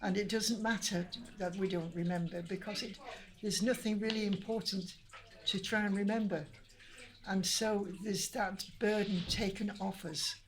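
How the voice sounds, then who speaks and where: A woman's voice: mid-range voice, steady tone, moderate pace, rough recording. An older woman with a Lancashire accent, from Manchester, answering interview questions in a chatty, reminiscing way with frequent restarts. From Manchester, England. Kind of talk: casual interview chat.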